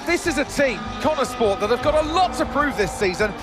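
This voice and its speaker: A man playing a Formula One commentator, calling a race live in an excitable manner. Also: very high voice, fairly quick, loud music behind the voice. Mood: urgent.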